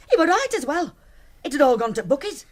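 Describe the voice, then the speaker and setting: A woman's voice: high voice, sing-song, fairly quick. An actress playing a middle-aged working-class widowed mother with a Northern English accent, blunt and reproving, in a naturalistic radio drama. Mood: bitter. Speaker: actor.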